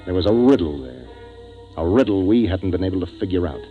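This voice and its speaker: An actor playing a gruff, decisive spaceship captain in a 1950s radio drama, narrating and giving orders. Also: male voice, lowish voice, darkish tone. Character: gruff, decisive male spaceship captain, troubled but in command. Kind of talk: 1950s radio acting with first-person narration. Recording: dull 1950s radio recording, music behind.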